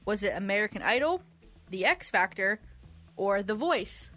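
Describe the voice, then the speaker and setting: A woman's voice: medium pitch, expressive, moderate pace, pushed and bright, faint music behind. A woman co-hosting a radio quiz, reading trivia questions in a conversational way.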